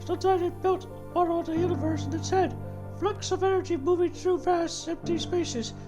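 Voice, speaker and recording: A woman's voice: very high voice, fairly even intonation, moderate pace, over music, pitched above the performer's own voice. A woman voicing a fervent, dreamy godlike being in a dramatic reading of game dialogue, with emphatic delivery. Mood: dreamy wonder.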